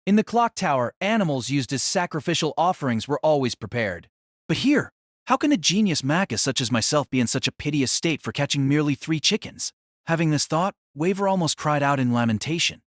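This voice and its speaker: Animated male narrator, fairly high voice. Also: fairly quick, no echo, studio quality. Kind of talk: dramatic scripted light novel narration.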